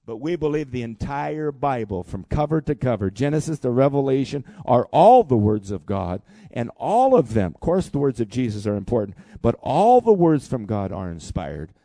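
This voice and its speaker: A man in his sixties preaching a sermon in church, animated and calling for responses from the congregation. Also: medium pitch, normal speed, few pauses, no echo. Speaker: pastor. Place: church hall.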